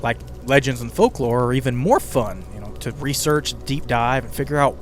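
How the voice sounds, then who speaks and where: Guy doing podcast narration, mid pitch, chatty scripted read, ambient music behind him. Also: animated, normal speed. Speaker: podcast host and writer. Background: ambient music bed with crackling fire sounds.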